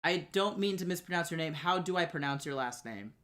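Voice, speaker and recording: A man's voice: on the high side, expressive, a little fast, fairly bright tone. A man co-hosting a podcast, chatting casually and reading out listener questions. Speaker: podcast co-host.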